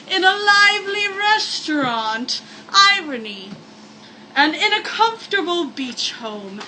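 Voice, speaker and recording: High, bright woman's voice, slow sarcastic storytelling. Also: slight background noise, rough recording. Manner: sarcastic, mock-dramatic. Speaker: storyteller reading a ghost story aloud with joking asides. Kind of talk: comedic read-aloud with mock-spooky asides.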